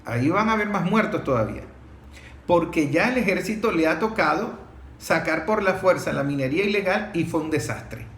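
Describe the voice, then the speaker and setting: A Venezuelan man speaking off the cuff in an analytical discussion, explaining and arguing his points emphatically. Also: a little high. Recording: roomy echo, faint background noise.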